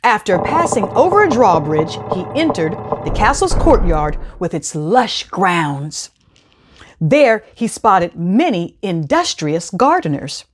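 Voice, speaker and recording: A woman reading a children's storybook aloud with dramatic, expressive narration. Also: medium pitch, slow pace.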